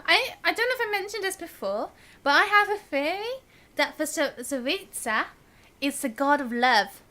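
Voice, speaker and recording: Bright, high-voiced woman live streaming. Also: lively intonation, moderate pace. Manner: giggly, musing aloud, frequent "like". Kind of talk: casual live gameplay commentary.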